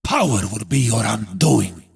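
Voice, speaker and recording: A man voicing a grim, resolute warrior hero in a video game, raspy and gravelly, making weighty, defiant pronouncements. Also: on the low side, lively intonation, unhurried, heavily acted. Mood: solemn, defiant.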